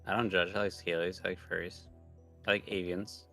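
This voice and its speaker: A man joins a livestream as co-player, trading casual jokes and teasing. His voice sits fairly low with a lot of vocal fry. Soft music runs in the background. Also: flat delivery, fairly quick, dry recording. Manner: teasing, joking. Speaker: streamer's friend and co-player.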